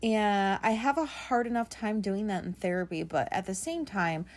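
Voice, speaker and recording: Raspy woman rambling casually. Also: medium pitch, animated, moderate pace. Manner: rambling, many "like" fillers, casual swearing. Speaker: podcast host. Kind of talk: casual solo podcast monologue.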